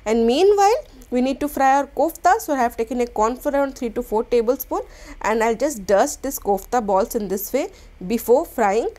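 A woman, hosting a cooking channel and explaining a recipe step by step in a gentle, instructional voice-over. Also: fairly high pitch, lively intonation, moderate pace. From India.

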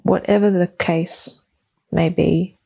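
Woman, meditation guide, calm and soothing, unhurried pace, flat even intonation, deliberate pauses, soft instruction. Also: lowish voice, vocal fry, husky.

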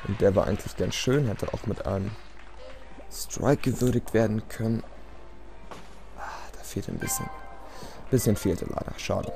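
A man's voice: fairly low pitch, moderate pace, vocal fry, pauses often. A man giving casual, self-deprecating gameplay commentary in a resigned, rambling way. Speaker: let's play commentator. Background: game music.

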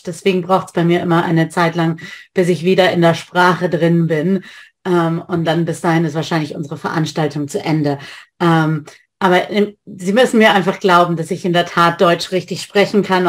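A woman in her thirties, a rabbi, giving an online lecture from a home study with bookshelves, talking haltingly with many filler sounds and restarts over a webcam. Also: mid-range voice. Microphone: webcam on a video call.

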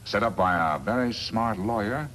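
Smug, swooping crooked lawyer villain. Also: male voice, lowish voice, expressive, normal speed, a bit bright. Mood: smug scheming. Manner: smug, calculating, emphatic. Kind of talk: 1950s radio western acting. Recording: noisy vintage 1950s radio recording.

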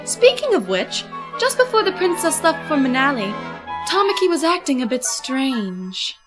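Woman as a high-voiced polite schoolgirl. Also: very animated, moderate pace, music in the background. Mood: concerned gossip. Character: polite, concerned, gossiping teenage schoolgirl. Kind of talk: fan manga dub acting.

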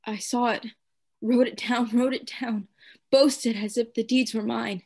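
A woman playing a tormented madman in a radio play, raving and pleading in halting, repetitive phrases. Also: on the high side, steady tone, a little mellow. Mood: confessing. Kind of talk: theatrical radio play acting.

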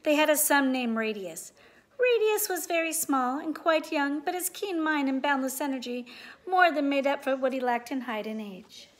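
A woman's voice: high voice, expressive, normal speed. A middle-aged woman reading a storybook aloud for children in a living room. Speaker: storybook reader. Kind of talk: children's read-aloud narration. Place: living room at home, indoors.

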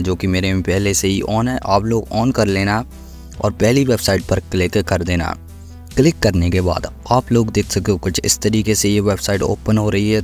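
A man's voice: fairly low pitch, darkish tone. A man giving a casual step-by-step tutorial, instructing and repeating each step. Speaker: tech tutorial host. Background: music.

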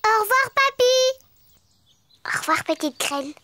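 A woman's voice: extremely high pitch, big pitch swings, unhurried, bright, forceful tone, slight background noise, heavily acted. A woman voicing a cheeky, eager little girl piglet in a children's cartoon. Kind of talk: children's cartoon acting in French dub. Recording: music under the voice.

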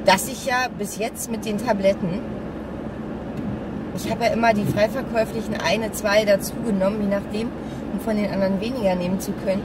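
Female vlogger, slightly hoarse, mid-pitched, confiding and halting, recorded at a distance in a noisy moving car. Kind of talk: casual chat while driving. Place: inside a small car while driving. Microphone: camera or phone mounted on dashboard. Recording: distant mic, loud car road noise. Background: car engine and traffic noise.

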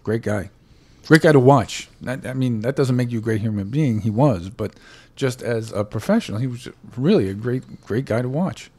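A man's voice: mid-range voice, moderate pace, husky. A man co-hosting a podcast, telling family stories in a casual chat, laughing often. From United States. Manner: animated storytelling with frequent laughs. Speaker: podcast co-host.

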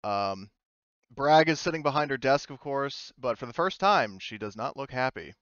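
A man running a tabletop roleplaying game as game master, narrating informally and haltingly and speaking for characters in his own voice. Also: mid-range voice, animated, fairly bright tone, smooth, no echo, highs cut off.

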